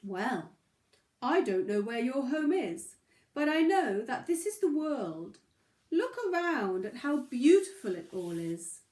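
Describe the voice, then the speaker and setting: A woman voicing a calm, friendly moose in a children's story, unhurried and matter-of-fact. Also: high voice, roomy sound, full character voice. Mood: gently reassuring.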